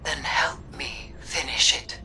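An actress voicing a brooding, vengeful occult sorceress in a video game, solemn, formal and defiant. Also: female voice, takes it slowly, pushed and bright, in a whisper. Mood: pleading, resolute. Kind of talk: theatrical video game dialogue. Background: music.

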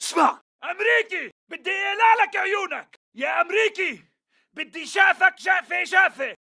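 A man's voice: very high-pitched, animated, moderate pace, creaky, sharp tone, shouting, heavily acted. A man voicing an aggressive militant fighter in a video game, with a Levantine Arabic accent and urgent, clipped delivery. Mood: menacing, shouting. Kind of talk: video game battle chatter.

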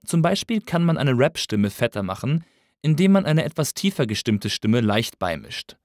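A man narrating a scripted tutorial as an instructor, in a measured, explanatory way. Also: medium pitch, very animated, a little mellow, no echo, studio quality.

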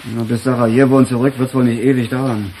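An actor playing a weary, grumbling police chief with a husky voice in a radio crime drama. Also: male voice, medium pitch, level tone, fast, warm tone, slight background noise, natural voice. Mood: firm.

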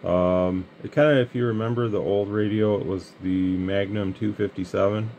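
A man's voice: fairly low pitch, moderate pace, highs cut off. A man with an American Midwestern accent chatting casually as a CB radio hobbyist. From Michigan, United States. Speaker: radio hobbyist, channel host. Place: indoor radio bench. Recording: steady background hiss and radio noise.

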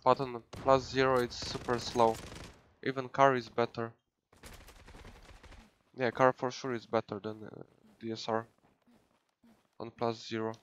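A man's voice: mid-range voice, flat delivery, measured pace, pauses often, fairly bright tone. A young man from Poland with a Polish accent, casually commenting on his gameplay live from a home room into a headset mic, with many fillers. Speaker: gaming streamer.

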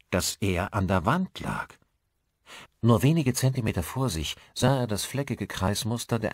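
A man's voice: low-pitched, no echo. A man narrating a horror story as an audiobook, in a suspenseful, theatrical, scripted manner.

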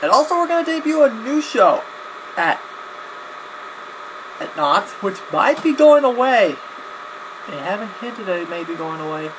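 A young man talking casually to camera in a halting, rambling vlog style, often backtracking and repeating himself. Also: high-pitched, sing-song. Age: young adult. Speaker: video blogger. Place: small cluttered home room, indoors. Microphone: webcam at desk distance. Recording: clear background noise, webcam-grade audio.

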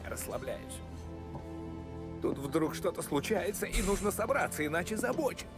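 An actor playing a blunt, coarse, tired small-time gangster of almost forty in a crime game, over loud music. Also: male voice, high voice, sing-song, moderate pace. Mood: fed up.

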